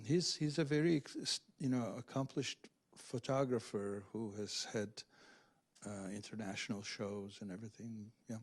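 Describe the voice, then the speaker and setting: A man speaking as a literary translator at an event, explaining in a halting, searching, scholarly way without a script. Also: lowish voice. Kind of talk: unscripted explanation at a reading Q&A.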